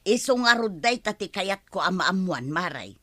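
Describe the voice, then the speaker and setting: A woman's voice: medium pitch, big pitch swings, normal speed, fairly bright tone, no echo, studio quality. A woman with an Ilocano accent playing an eager, chatty village gossip in a radio comedy drama. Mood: conspiratorial.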